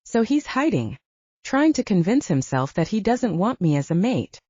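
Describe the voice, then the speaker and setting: A woman's voice: mid-range voice, big pitch swings, moderate pace, darkish tone, slightly rough, dry recording, dull audio. A woman voicing a blunt, indignant female werewolf in an audiobook. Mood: frustrated. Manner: blunt, swearing, challenging. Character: blunt, indignant young she-wolf shifter.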